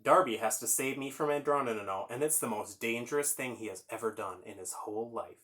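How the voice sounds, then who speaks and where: A man's voice: mid-range voice, brisk, fairly bright tone. A man hosting a children's story time, reading aloud and chatting informally, explaining things and putting questions to the listeners.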